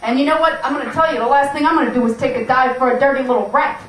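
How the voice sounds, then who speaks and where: A woman's voice: high-pitched, fairly even intonation, racing, fairly bright tone. A woman playing a bossy, menacing female gangster boss in an amateur puppet show, with brash slangy talk. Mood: scheming. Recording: roomy, distant mic, faint noise.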